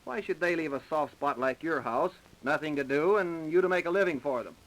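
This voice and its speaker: A man's voice: on the high side, brisk, a bit bright, natural voice, rough recording. An American man acting a dogged, sardonic police captain questioning a suspect in a 1930s radio drama. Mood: skeptical, sardonic. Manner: sardonic, pressing, plain-spoken. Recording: vintage 1930s radio recording.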